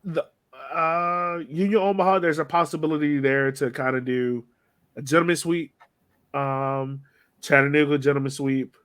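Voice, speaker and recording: A man's voice: on the high side, normal speed, a bit bright, clean tone. A man hosting a casual sports podcast, chatting informally and speaking straight to his co-hosts. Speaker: podcast host.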